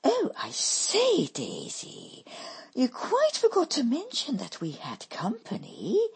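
A woman voicing a young woman who turns from indignant to affectedly posh, husky-voiced. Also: wildly swooping pitch, a little slow, a little mellow, exaggerated voice. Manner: shrill, then put-on genteel tones.

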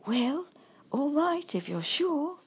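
A woman doing a soft, fussing little badger. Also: high-pitched, very animated, slow, voice pushed up, exaggerated voice. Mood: concerned. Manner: kindly, worried, soft-spoken. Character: gentle, fussing male miniature badger. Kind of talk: storybook character voice.

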